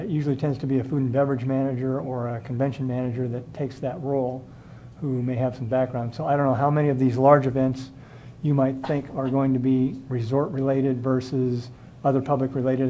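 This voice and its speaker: A man on a public board gives deliberate remarks from the resort industry's side. His voice is flat and mellow, with faint room ambience behind it. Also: mid-range voice, a little hiss or hum, low-quality recording. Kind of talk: public meeting discussion.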